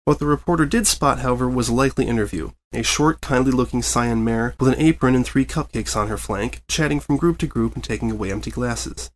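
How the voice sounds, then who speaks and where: A man narrates a written story aloud. His mid-pitched voice carries the scripted prose expressively, as a reader of fan fiction would. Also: fairly quick, few pauses.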